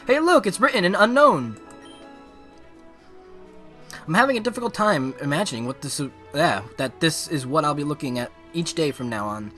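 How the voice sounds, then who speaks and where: Excitable higher-voiced man streaming a game. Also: moderate pace, long pauses, faint music behind. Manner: excitable, casual slangy talk. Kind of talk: live gaming commentary mixed with reading game text aloud.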